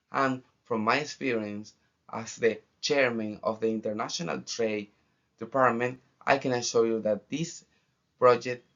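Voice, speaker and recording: A young man from Argentina with an Argentine accent, a student giving a formal final project presentation in measured phrases with pauses. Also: medium pitch, fairly bright tone. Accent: Argentine Spanish. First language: Spanish. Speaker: international trade student. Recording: dull lo-fi recording.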